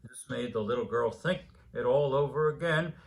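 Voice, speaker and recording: A man in his seventies reads a children's Christmas story aloud, unhurried, with small hesitations. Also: mid-range voice, creaky, poor audio. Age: 70s. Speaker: story reader.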